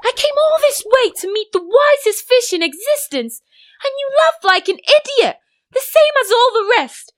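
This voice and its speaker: A woman voicing an eager, brave young male little fish who shouts in a very high, shrill voice. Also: very animated, normal speed, full character voice. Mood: angry.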